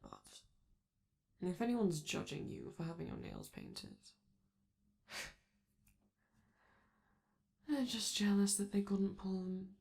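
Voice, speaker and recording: A woman voicing a flamboyant, vain robot star in a scripted ASMR roleplay, theatrical and doting, with teasing endearments and drawn-out words. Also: mid-range voice, very animated, creaky, a little mellow, full character voice. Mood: gentle, fussing.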